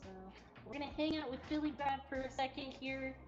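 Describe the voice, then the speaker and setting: A woman's voice: high-pitched, steady tone, brisk. A giggly woman presenting casually as a livestream host at an indoor cat cafe. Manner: giggly, often chuckling. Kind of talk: casual live presentation and chat. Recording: slightly dull livestream audio, faint music. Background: faint music.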